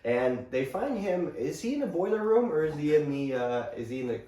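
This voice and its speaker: A man's voice: on the high side, big pitch swings, brisk, dark tone, reverberant, far from the mic. A man with a stuffy nose from allergies co-hosting a casual wrestling podcast, joking loosely and crudely. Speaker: podcast co-host. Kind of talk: casual chat recapping wrestling.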